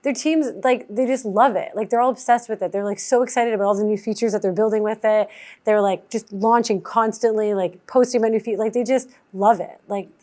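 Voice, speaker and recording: A woman's voice: steady tone, fairly quick, warm tone. A woman venture investor answering audience questions in casual, chatty talk, filling her speech with "like" and acting out other people's lines. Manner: chatty, heavy use of "like" fillers; acts out founders' lines in a higher voice.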